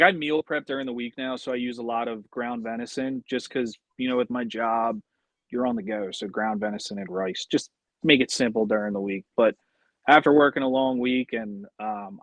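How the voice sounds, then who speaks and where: A man speaks as a podcast guest, telling stories casually. His voice sits in the middle range with a noticeable creak, recorded dry and close. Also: mid-range voice, no room sound. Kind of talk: casual conversational storytelling.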